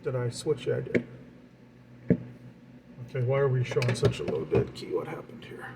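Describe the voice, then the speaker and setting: Male hobbyist, average-pitched, informal explainer who mutters through each step; noticeable equipment hum and fan noise in the recording. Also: normal speed. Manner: talks to himself while working, explanatory. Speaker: radio hobbyist demonstrating equipment. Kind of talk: informal hands-on demonstration. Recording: clear steady background equipment noise.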